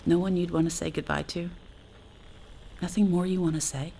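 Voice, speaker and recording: An actress playing a wry, talkative astronaut facing doom in an audio drama, sardonic and conversational in manner. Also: female voice, lowish voice, steady tone, moderate pace, gravelly, dark tone, a little hiss or hum, low-quality recording. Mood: wistful, hurt. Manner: sardonic, conversational, hesitant asides. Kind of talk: naturalistic audio drama acting.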